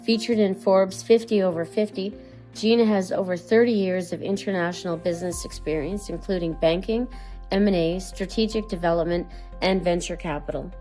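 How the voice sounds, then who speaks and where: Woman host, lower voice, smooth scripted intro over faint guitar. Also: steady tone, moderate pace, dark tone, poor audio. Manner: polished, read-out delivery. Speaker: podcast host. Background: guitar music.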